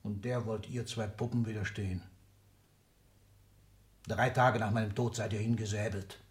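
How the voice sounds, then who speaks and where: A man's voice: on the low side, fairly even intonation, moderate pace, close to an everyday voice. An older man playing an ailing, domineering old industrialist in a 1960s German radio drama, commanding and declamatory with clipped, emphatic phrases. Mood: fervent, urging. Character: ailing, domineering old industrialist patriarch, irascible, power-hungry.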